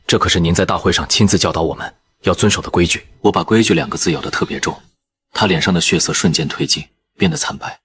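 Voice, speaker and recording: A man narrating in the first person as a calm surgeon, in measured scripted narration. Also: sits low. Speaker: narrator and lead character, a surgeon.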